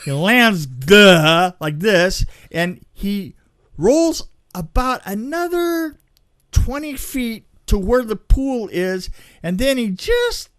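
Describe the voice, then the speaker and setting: A man in casual chat, telling anecdotes in a rambling, animated way. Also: sits high, slow, smooth, no echo, clean studio sound. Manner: rambling storyteller, acts out sound effects.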